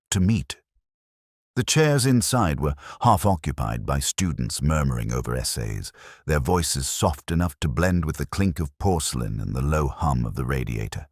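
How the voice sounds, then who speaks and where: A man narrating a scripted first-person detective story in a measured, literary, reflective way. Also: very deep voice, darkish tone, dry recording, studio quality.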